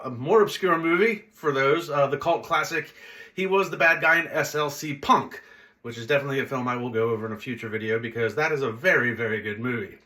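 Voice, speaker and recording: A man's voice: mid-range voice, bright, forceful tone. A man hosting a movie review video in a casual, unscripted style, blunt and conversational, wandering into tangents.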